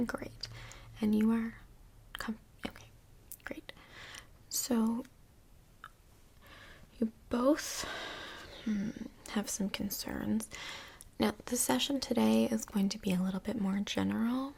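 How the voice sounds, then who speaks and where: A woman playing a polite, busy stand-in couples counselor in Hell in a soft-spoken ASMR roleplay, with a breathy, whispery voice and measured, tactful, professional phrasing. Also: a little high, fairly even intonation, exaggerated voice. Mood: calm, tactful.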